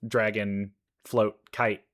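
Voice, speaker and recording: A man giving casual, enthusiastic reaction commentary, halting as he thinks aloud. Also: lowish voice, little pitch movement, fairly bright tone. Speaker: reaction video host, gamer.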